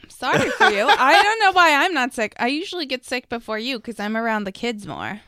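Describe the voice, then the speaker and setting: A woman's voice: high-pitched, sharp tone, dry recording, clean studio sound. A woman co-hosting a casual chat, thinking aloud and hedging as she talks. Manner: musing, hedging with fillers. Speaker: podcast co-host.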